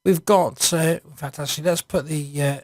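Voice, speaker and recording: A man narrating an informal screencast software walkthrough, hesitant and improvised as he thinks aloud. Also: fairly high pitch, fairly even intonation, moderate pace, dry recording, clean studio sound. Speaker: software reviewer.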